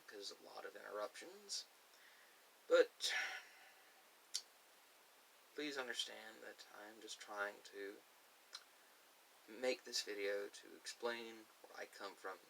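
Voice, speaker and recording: A man speaking casually and unscripted to viewers, giving his personal opinions in emphatic, halting, short phrases. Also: fairly low pitch, vocal fry, sharp tone. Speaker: video creator giving personal thoughts. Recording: distant mic with faint hiss.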